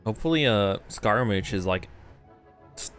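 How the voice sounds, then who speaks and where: A man's voice: lowish voice, animated, normal speed, a bit bright, soft background music. A young man giving casual, joking live commentary as a game streamer, with lots of slang.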